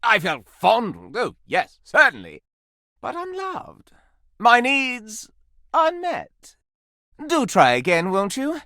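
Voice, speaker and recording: A pompous, amorous antique candelabra from a video game. The voice is theatrical and florid, leaping wildly from high swoons down to low purrs. It lingers slowly over phrases, savoring every hint of scandal, in a close, dry recording. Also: male voice, wildly swooping pitch, sharp tone, dry recording, exaggerated voice. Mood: dissatisfied, coaxing. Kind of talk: video game character acting.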